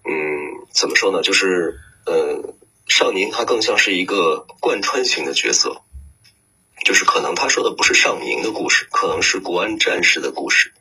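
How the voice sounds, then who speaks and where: A man with a deep voice and a sharp, forceful edge answers interview questions as a guest. His tone is very gravelly and unclean. He speaks reflectively and hesitantly, feeling his way toward each phrase. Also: expressive, moderate pace, low-quality recording. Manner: hesitant, searching for words. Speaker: voice actor, guest.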